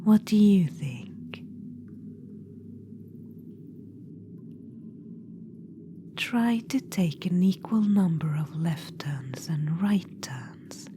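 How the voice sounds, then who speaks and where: A haughty undead witch queen , whispered by a woman, murmurs in a low, unhurried hush, condescending and fond of calling you darling. Her soft whisper sits over a steady background hiss. It is an ASMR roleplay. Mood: bickering. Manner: teasing, condescending, calls listener darling. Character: ancient undead witch queen, vain and imperious.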